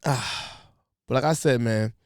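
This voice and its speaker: A young man from the United States hosting a podcast, ranting in an animated, preachy way with casual slang. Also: medium pitch, measured pace, no echo, studio quality. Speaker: podcast host.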